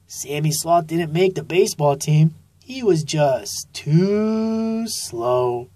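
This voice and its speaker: A woman narrating a children's story expressively and deliberately, drawing out key phrases, recorded in a studio. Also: low-pitched, animated, mellow, no echo. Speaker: author reading own children's book.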